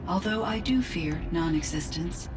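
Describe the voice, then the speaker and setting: A woman playing a gentle, grieving artificial intelligence in a video game, speaking in a measured, formal, precise way. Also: lowish voice, lively intonation, recorded from a distance, noisy background, close to an everyday voice. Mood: sorrowful, measured. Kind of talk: video game cutscene acting.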